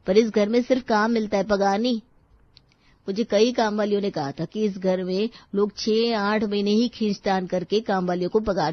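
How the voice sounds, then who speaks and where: A woman's voice: mid-range voice, no room sound, highs cut off, natural voice. A woman voicing a blunt, fed-up housemaid in a story, grumbling with sarcasm.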